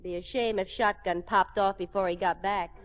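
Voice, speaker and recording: An actress playing a wry, scheming young wife in a 1940s radio comedy. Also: female voice, medium pitch, fairly even intonation, moderate pace, lightly acted. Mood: sly, innocent. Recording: noisy old radio, loud studio audience.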